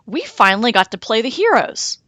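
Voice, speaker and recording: A woman's voice: fairly high pitch, sing-song, fairly quick, a bit bright, dry recording, voice pushed up. A woman voicing an eager beaver who is fed up with a bossy friend in a children's storybook. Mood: excited.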